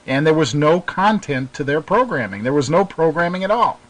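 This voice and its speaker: A man's voice: a little high, expressive, brisk, a bit bright, low-quality recording. A man hosting a radio show, chatting casually with a guest and asking questions. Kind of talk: casual interview chat.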